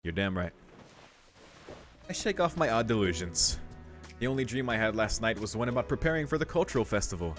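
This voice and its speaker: A man's voice: lowish voice, big pitch swings, fairly quick, pauses often. A man casually reading a visual novel aloud and reacting as a let's play host. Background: faint game music.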